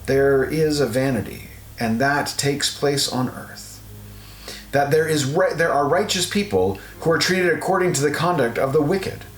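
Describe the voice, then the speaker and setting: A man narrating an audio Bible, reading scripted scripture in a measured, solemn recitation. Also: medium pitch. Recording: roomy echo, guitar music underneath.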